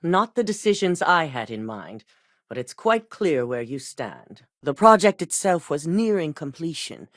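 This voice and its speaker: An actress voicing a calculating corporate executive who hands out missions in a video game, speaking in a composed, clipped, authoritative way. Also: female voice, deep voice, no echo, studio quality, full character voice. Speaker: corporate boss giving missions.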